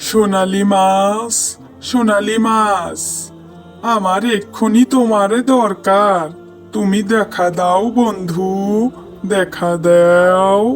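Honest, long-suffering village husband , man acting, Bangladeshi accent, high plaintive cartoon voice. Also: fairly even intonation, slow, soft background music. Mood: calling out.

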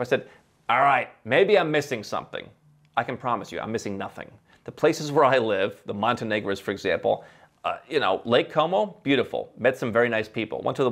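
A man in his thirties talks straight to the camera in a dim, book-lined studio set. He is animated and opinionated, and he uses his hands and fires off rhetorical questions. The voice is close and clean on a studio mic. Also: mid-range voice. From Ohio, USA. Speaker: host. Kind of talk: opinionated talking-head commentary. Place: dim indoor library set.

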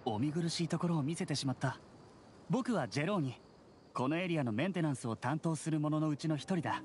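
An actor playing a fussy, articulate, condescending young engineer in an anime game. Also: male voice, a little high, lively intonation, brisk, darkish tone, a little hiss or hum, close to an everyday voice. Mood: polite, composed. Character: fussy, sarcastic young bespectacled engineer brother.

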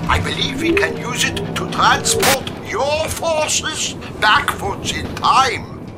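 An actor playing an eccentric, earnest old male scientist in a game, explaining things in a halting way. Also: extremely high pitch, big pitch swings, loud music behind the voice, exaggerated voice. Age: older.

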